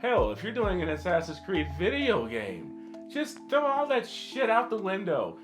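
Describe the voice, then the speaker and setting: A man's voice: sits high, huge pitch swings, measured pace. Blunt male podcaster over plucked guitar music. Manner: blunt, profane, exclamatory. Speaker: podcast host. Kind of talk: casual podcast banter. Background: guitar music behind the voice.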